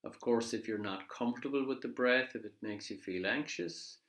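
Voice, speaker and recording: A man leading a guided body scan meditation in a measured, gently instructive way, leaving pauses between phrases. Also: medium pitch. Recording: echoey room.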